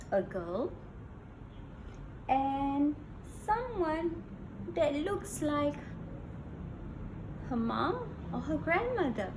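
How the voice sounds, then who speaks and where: Female children's read-aloud host, high and very expressive, sing-song delivery, roomy and noisy recording. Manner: sing-song, addressing young listeners with questions. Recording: echoey room with background noise.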